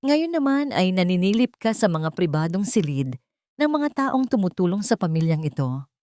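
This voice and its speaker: A woman's voice: fairly low pitch, big pitch swings, normal speed, darkish tone. Woman reading as stern, cold wealthy father, subdued delivery. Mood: bitter reproach. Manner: stern, accusing. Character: cold, angry, disappointed wealthy father and employer.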